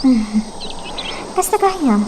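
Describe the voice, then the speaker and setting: A sweet young country maiden in an Ilocano radio romance, acted by a woman. Her voice is very high and unhurried, with an Ilocano accent, over faint noise of birds and crickets. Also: sing-song, full character voice. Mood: sweet, dreamy. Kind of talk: Ilocano radio drama acting.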